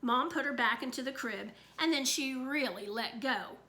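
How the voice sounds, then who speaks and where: A woman reading a children's book aloud, expressive and animated. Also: sits high, moderate pace. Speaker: read-aloud reader. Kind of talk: storybook read-aloud.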